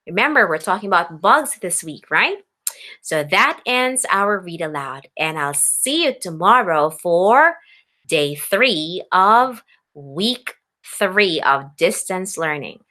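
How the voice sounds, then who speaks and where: A woman's voice: lowish voice, sing-song, slow pace, pushed and bright. A woman teacher reading a picture book aloud expressively to young children, addressing them directly and instructively.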